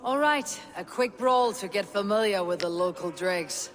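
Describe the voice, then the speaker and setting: A woman's voice: medium pitch, expressive, moderate pace, big-room sound, a crowd in the background, lightly acted. An actress playing a blunt, impatient Viking warrior woman in a video game, speaking in a commanding way. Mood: wry.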